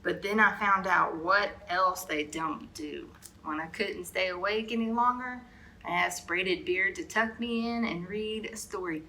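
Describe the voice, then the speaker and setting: Female children's storyteller, bright forceful voice, expressive read-aloud, medium pitch, faint background noise. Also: a little slow, rough recording. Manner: expressive, with brief asides to young listeners. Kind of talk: animated picture-book read-aloud.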